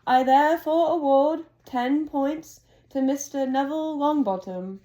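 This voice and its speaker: A woman reading aloud as an old, wise male headmaster, speaking in a calm, measured, formal way as he announces to a hall. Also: extremely high pitch, pitched above the performer's own voice. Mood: formal, kindly.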